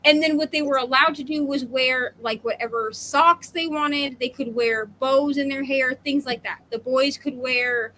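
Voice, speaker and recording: A woman's voice: sits high, steady tone, normal speed, hardly any pauses, pushed and bright, slight background noise. A woman in her thirties co-hosting a casual book discussion, talking in a rambling, opinionated way with emphatic asides. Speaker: co-host of a book readalong live show.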